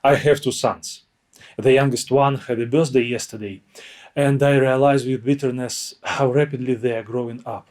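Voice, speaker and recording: A man's voice: medium pitch, fairly even intonation, normal speed, a little mellow. A man, a native Russian speaker with a Russian accent, asking prepared questions as an interviewer.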